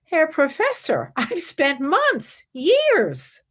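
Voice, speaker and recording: A woman's voice: sits high, wildly swooping pitch, very slow, fairly bright tone, full character voice. An actress playing a fervent young female philosophy student in a radio play, dramatic and intensely devoted. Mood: frustrated. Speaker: actor.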